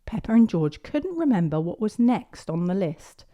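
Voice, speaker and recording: A woman reading a picture book aloud to young children in an expressive storytelling manner. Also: medium pitch, normal speed, muffled recording. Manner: expressive, clearly paced delivery of picture-book narration.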